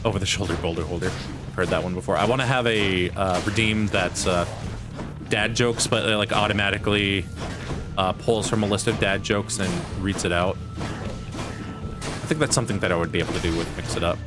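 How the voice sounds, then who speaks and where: A man with a somewhat low voice chats loosely while he plays, circling his points and poking fun at himself. Game music runs clearly under his talk. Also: fairly quick. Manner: rambling, many fillers and restarts, self-deprecating. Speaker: streamer. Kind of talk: casual chat while gaming.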